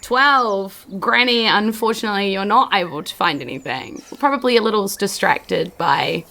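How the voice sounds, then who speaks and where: A woman with a New Zealand accent, improvising narration as game master of a tabletop game, with halting, drawn-out phrasing. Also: medium pitch, gravelly, sharp tone.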